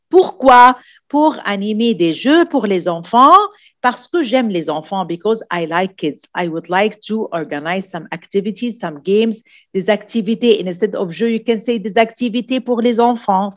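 A woman teaching French for an exam, explaining in English, repeating phrases and often asking "d'accord?". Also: mid-range voice. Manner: repetitive, checks in with "d'accord?" often. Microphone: voice-over on screen recording.

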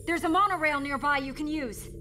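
An actress playing a young, serious mission operator in a video game, briefing in a clipped, businesslike way. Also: female voice, high-pitched, brisk, background noise, close to an everyday voice. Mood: composed. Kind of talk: video game cutscene acting.

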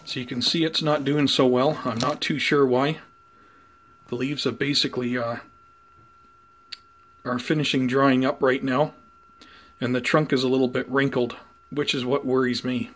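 A man giving an unhurried, conversational show-and-tell as a hobby vlogger, talking casually indoors. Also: medium pitch, level tone, fairly bright tone. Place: indoors at home by plant shelves. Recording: clear background noise, room ambience.

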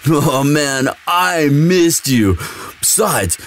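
Man acting a slow-talking, sweet himbo boyfriend. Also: on the high side, expressive. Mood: tender, teasing. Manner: slow, dude-ish drawl full of likes and sighs. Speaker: audio roleplay performer. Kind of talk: intimate boyfriend roleplay, casual chat.

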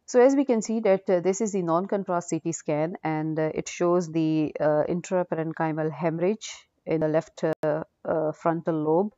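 A woman with a low, mellow voice teaches as a radiology lecturer, talking through cases without a script. She explains hesitantly and repeats herself. The recording is dry and muffled. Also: steady tone, moderate pace, few pauses, dry recording. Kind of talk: unscripted case-review lecture. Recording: dull, dry lo-fi audio.

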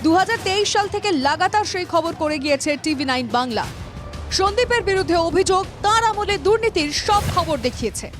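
Woman w/ doing dramatic news voiceover, super lively pitch, music under it. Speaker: news narrator. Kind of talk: dramatic scripted news narration.

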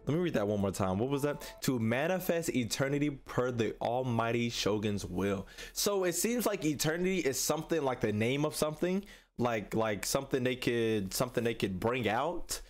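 A man's voice: mid-range voice, lively intonation, moderate pace. A young man giving casual, slangy reaction commentary as a video host, often repeating his phrases. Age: young adult. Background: game trailer music.

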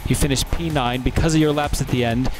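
A man's voice: medium pitch, fairly even intonation, fast, poor audio. A man giving updates as a race engineer over team radio. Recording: team radio, loud music and engines behind.

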